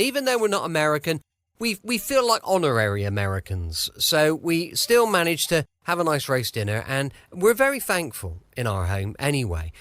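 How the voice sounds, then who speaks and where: A man's voice: fairly high pitch, a bit bright, dry recording. An English man hosting a podcast, chatting casually and cheerily with homely, colloquial turns of phrase. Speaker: podcast host.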